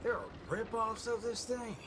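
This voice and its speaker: A man's voice: extremely high pitch, steady tone, normal speed, background noise. An actor playing a laid-back, folksy cowboy man with a slangy drawl in a game cutscene. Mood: amazed. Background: loud game music.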